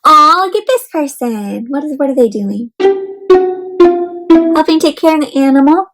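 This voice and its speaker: Lady with a highish voice reading a picture book to little kids, expressive, teachery, asks them stuff. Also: moderate pace, faint music behind. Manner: expressive, addresses child listeners with questions and simple explanations. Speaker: children's read-aloud host and teacher. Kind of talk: interactive picture book read-aloud for young children.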